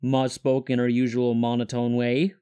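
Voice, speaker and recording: A man's voice: mid-range voice, completely flat delivery, moderate pace, warm tone, smooth. A man reading scripted third-person story narration as the narrator of a fan audio drama.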